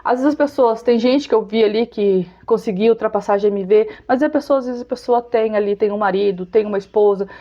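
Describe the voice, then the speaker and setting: A woman's voice: mid-range voice, a little fast, few pauses, warm tone, muffled recording. Chatty Brazilian woman in her forties. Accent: Brazilian Portuguese. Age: 40s. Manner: chatty, confiding, laughs often. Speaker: vlogger. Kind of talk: casual talk to camera.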